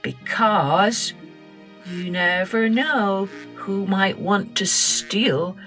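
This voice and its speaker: A woman host narrating a children's story, gentle, expressive and unhurried. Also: medium pitch, faint music behind.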